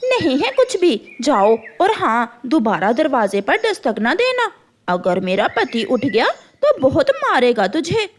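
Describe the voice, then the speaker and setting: Woman playing a rude high-voiced cartoon crow wife. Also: wildly swooping pitch, measured pace, a little hiss or hum, heavily acted. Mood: scornful, threatening. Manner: scolding, dismissive. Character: rude, stingy, threatening female crow wife.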